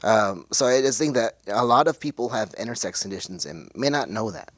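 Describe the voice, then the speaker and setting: A man in his forties from West Texas, talking casually and haltingly as a guest, searching for words as he shares a personal account. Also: on the low side, expressive, no room sound. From West Texas, United States. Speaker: interview guest, intersex speaker.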